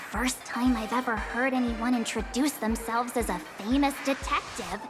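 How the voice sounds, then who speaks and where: An actress playing a perky, cheeky young girl with a soft heart in an anime-style video game. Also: on the high side, a little slow, close to an everyday voice. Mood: sassy, puzzled. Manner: playful, sassy, expressive. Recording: loud background music under dialogue.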